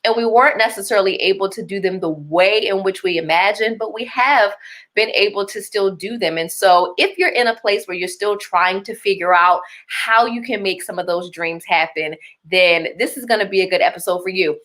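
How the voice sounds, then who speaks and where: A woman's voice: mid-range voice, moderate pace, fairly bright tone. A woman from Baltimore with a Baltimore accent, hosting a live stream in an upbeat, chatty way, laughing often and reading out viewer comments. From Baltimore, Maryland. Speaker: host.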